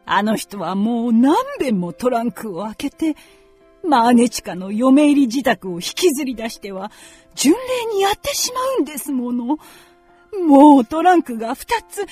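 A woman's voice: sits high, a little mellow, breathy edge, full character voice. A woman playing a genteel, fretful middle-aged mother in a radio drama, with very polite old-fashioned feminine speech. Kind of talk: Japanese radio drama acting.

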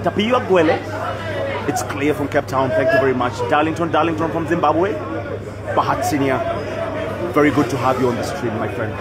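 High-voiced Kenyan man doing pool commentary. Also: fairly quick, long pauses. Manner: chatty, greets viewers by name between shots. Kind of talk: live sports commentary for a stream. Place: pool tournament venue in Nairobi. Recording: loud crowd noise all around. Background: loud crowd.

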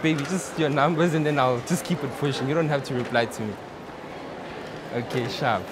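A man's voice: mid-range voice, brisk, background noise. A man in his thirties with a South African accent, hosting a playful prank vlog in a shopping mall. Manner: cheeky, playful, hyped when talking to camera. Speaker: vlogger host. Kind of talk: prank pickup-line vlog. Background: mall background music.

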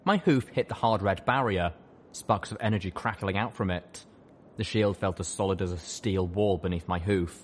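A man with a low voice narrates a dramatic story in scripted audiobook style. A faint rumble of noise sits beneath the reading. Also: moderate pace. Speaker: audiobook reader.

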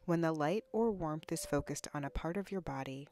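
A woman leading a guided body scan meditation in a soothing, unhurried, instructional way with long pauses. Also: low voice, a little mellow, soft background music. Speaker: guided relaxation narrator, counselor.

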